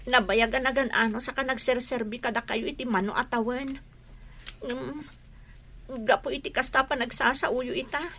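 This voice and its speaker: An actress playing a humble, patient, forgiving young housemaid in a melodramatic radio-style drama. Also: female voice, on the high side, steady tone, moderate pace, bright, forceful tone. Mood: hurt, apologetic.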